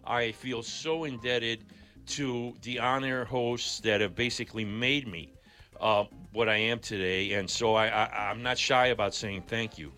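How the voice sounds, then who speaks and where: An older man from Greece, a native Greek speaker, talking as a guest in a radio interview in an animated, chatty way. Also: medium pitch, a little slow, faint music behind. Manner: animated, grateful, chatty with restarts. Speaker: guest musician.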